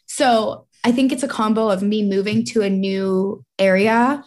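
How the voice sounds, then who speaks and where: A woman's voice: medium pitch, steady tone, a little slow, a little mellow. A young woman in her twenties co-hosting a podcast, bubbly and casual, laughing often and filling her talk with "like" and "dude.". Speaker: podcast co-host. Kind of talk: casual chat.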